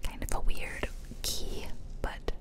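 A woman whispering gently and chattily in ASMR style close to the microphone as she hosts a game playthrough. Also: slow. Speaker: gaming video host. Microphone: close microphone, ear to ear.

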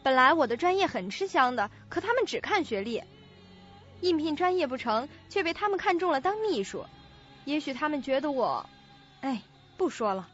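An actress playing a moody, self-deprecating young office intern in a Chinese radio drama. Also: female voice, sits high, sing-song, normal speed, a bit bright, soft background music. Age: young adult. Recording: dull lo-fi recording.

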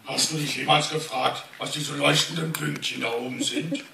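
A man's voice: on the high side, steady tone, normal speed, vocal fry. A man playing a naive, good-natured warthog in a live radio play, simple and curious. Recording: echoey hall, distant handheld phone, noisy.

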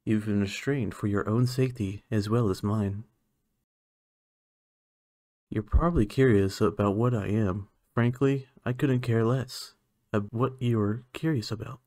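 Man playing a slow-talking, haughty alien scientist. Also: lowish voice, a little mellow, no echo, clean studio sound, close to an everyday voice. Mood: clinical, calm, then dismissive. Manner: clinical, haughty, measured. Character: aloof, condescending male alien scientist studying a human. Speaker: voice actor. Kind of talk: ASMR roleplay.

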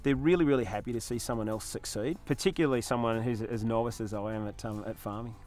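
A man's voice: mid-range voice, quick pace, a little mellow. An Australian man in his forties, a farmer talking casually in an outdoor interview. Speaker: novice farmer being interviewed. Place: outdoors on a farm hillside.

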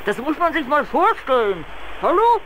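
An excitable teenage sidekick talks on a noisy phone call in a detective game. His exasperated voice swings widely in pitch. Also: moderate pace. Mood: agitated. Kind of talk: German adventure game voice acting.

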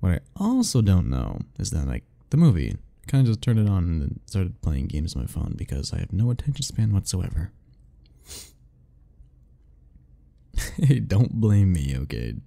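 A man's voice: low voice, wildly swooping pitch, moderate pace, creaky, long pauses, dark tone. A young man doing an ASMR roleplay as a teasing, easygoing roommate talking to the listener, laughing often.

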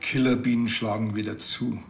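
An actor playing a blunt, unflappable Bavarian policeman in a comedy series, deadpan and laconic with a Bavarian accent. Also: fairly low pitch, moderate pace, vocal fry. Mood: dry. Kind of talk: naturalistic comedic screen acting.

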